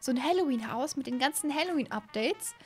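A woman's voice: high-pitched, big pitch swings, fairly quick, faint music behind. A young woman chatting casually as she hosts a gaming video, informal and repeating words for emphasis, close on a microphone. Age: young adult. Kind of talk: casual chat, game commentary. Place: small indoor room at home. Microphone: microphone on a boom arm close to the mouth.

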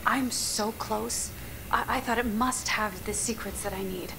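Game drama doctor: desperate, intense, slow-paced, over clearly noisy ambience. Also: female voice, on the high side. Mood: earnest, pleading. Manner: halting, intense, hushed at times. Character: earnest, haunted, desperate woman doctor. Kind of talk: dramatic video game acting.

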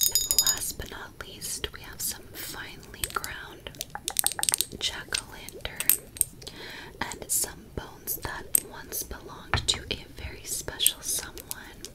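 A woman whispering as a sly, delighted potion-brewing witch in an ASMR roleplay, savoring and repeating her words in a breathy voice. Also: takes it slowly, long pauses, natural voice. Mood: sly, pleased. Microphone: close desktop condenser microphone.

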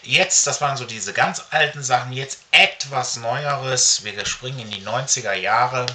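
A middle-aged man talking casually to camera as a comic collector, halting often with filled pauses, recorded in a room at home. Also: mid-range voice, pushed and bright. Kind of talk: casual show-and-tell to camera. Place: small furnished room at home, indoors. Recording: faint background noise.

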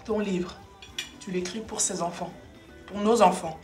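An actress plays a blunt housewife in a film drama, impatient with clever talk. She scolds and challenges in a natural, mid-pitched voice. The room is reverberant, with clear music behind her. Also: fairly even intonation, a little slow, darkish tone, natural voice. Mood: blunt, scolding. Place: dining room at a dinner table. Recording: roomy interior with music behind.

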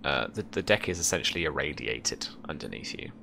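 A young man improvising tabletop game narration as game master, halting and thinking aloud. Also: a bass voice, lively intonation, vocal fry, background noise. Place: small room at home, indoors.

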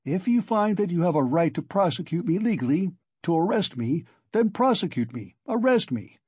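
Proud, agitated man under suspicion in a novel, played by a male reader: indignant, emphatic, husky, wide-swinging pitch. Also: very animated, normal speed, no echo, full character voice. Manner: loud, distinct, indignant. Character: proud, agitated, defiant male murder suspect. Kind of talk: audiobook character acting.

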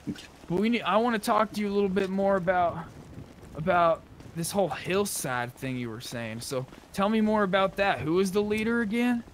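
A man's voice: sits high, normal speed, a little hiss or hum. A man chatting in an improvised, conversational way as he role-plays in an online game. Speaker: role-play gamer. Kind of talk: improvised in-character chat while gaming.

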